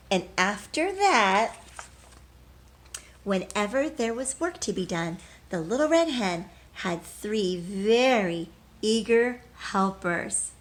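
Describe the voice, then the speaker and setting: A woman's voice: mid-range voice, expressive, takes it slowly. A woman teacher leading a read-aloud lesson for young children in simple, encouraging language, asking questions and answering them herself.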